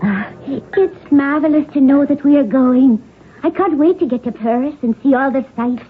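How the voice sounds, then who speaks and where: A woman's voice: high-pitched, moderate pace, lightly acted. An actress playing an earnest, anxious young Irish woman in a 1970s radio drama. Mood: joyful, shy. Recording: muffled 1970s radio broadcast.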